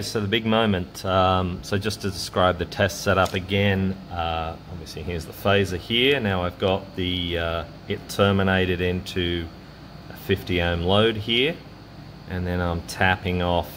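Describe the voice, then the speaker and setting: A man presenting a hands-on electronics demonstration in a casual, halting way, thinking aloud as he works. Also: low voice, a bit bright, a little hiss or hum. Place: indoor electronics workbench.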